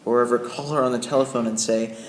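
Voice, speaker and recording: A man's voice: mid-range voice, fairly even intonation, fast, slight background noise, close to an everyday voice. A man playing a flustered, indignant Yankee wizard, narrating an old-time radio play with theatrical, emphatic delivery. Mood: wistful. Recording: echoey room, music behind.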